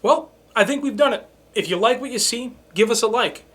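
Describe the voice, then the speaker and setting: A man's voice: very high-pitched, lively intonation, brisk, a bit bright, slightly rough. A man co-hosting a review show in a scripted but conversational, presenterly way. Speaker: show co-host.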